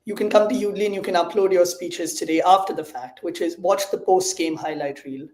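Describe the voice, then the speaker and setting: A man with an Indian accent, a startup CEO talking as a guest in a conversational interview, energetic and quick, sometimes repeating words as he starts. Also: high-pitched. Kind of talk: casual conversational explaining. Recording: roomy echo on voice.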